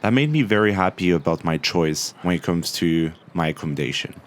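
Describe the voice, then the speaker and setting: A young man telling about his travels in a relaxed, chatty way, with lots of small fillers. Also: sits low, a little fast, a little hiss or hum. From Morocco. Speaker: travel vlogger. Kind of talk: casual travel vlog narration.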